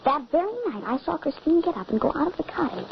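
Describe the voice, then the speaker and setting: A woman's voice: high voice, animated, fast talker, gravelly. A woman voicing a frightened young boy, the son, in an old radio drama, telling the story urgently. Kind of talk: 1940s radio acting. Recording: dull old radio recording, faint hiss.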